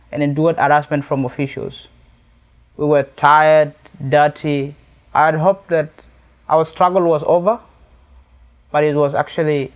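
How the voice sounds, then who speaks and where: A young man from South Sudan with a South Sudanese accent, giving a prepared personal testimony in an earnest, deliberately phrased way. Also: on the high side. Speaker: youth activist and charity event speaker.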